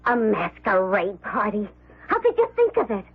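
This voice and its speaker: A woman's voice: medium pitch, wildly swooping pitch, moderate pace, vocal fry, slightly rough, full character voice. A woman acting a jaded, blunt, defiant character in an old radio drama. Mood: appalled. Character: jaded, defiant, frank woman with tough edge. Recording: muffled, narrow-band old radio broadcast.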